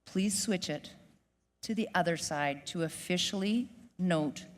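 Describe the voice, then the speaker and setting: Low-voiced woman in a big reverberant hall. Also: a little slow. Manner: relaxed, joking announcer. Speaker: ceremony host. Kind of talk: ceremony announcements. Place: large theater hall. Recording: reverberant hall with faint noise.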